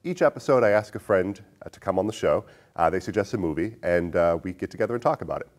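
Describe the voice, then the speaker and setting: A man's voice: sits low, animated, quick pace. A man hosting a casual podcast chat, with a jokey way of talking. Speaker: podcast host.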